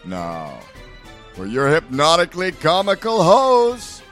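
A man's voice: on the high side, huge pitch swings, slow, a bit bright. A man announcing a show intro in a sweeping, showy way. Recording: music bed behind.

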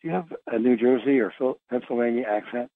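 A man from Philadelphia with an American accent, hosting a casual, rambling conference call over the phone, haltingly repeating his phrases. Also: mid-range voice, no room sound. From Philadelphia, USA. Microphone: phone line on conference call. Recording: dull, lo-fi phone-call radio sound.

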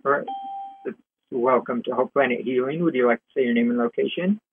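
A man's voice: medium pitch, a little fast, slight background noise. A man moderating a conference call, informally greeting callers and taking roll over a telephone line.

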